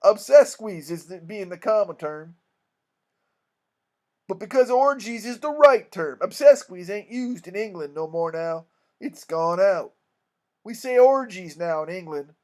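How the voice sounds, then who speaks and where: A man voicing a pompous, long-winded con man who poses as an English gentleman, from a storybook. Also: high voice. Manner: long-winded, self-important, folksy misused learned words.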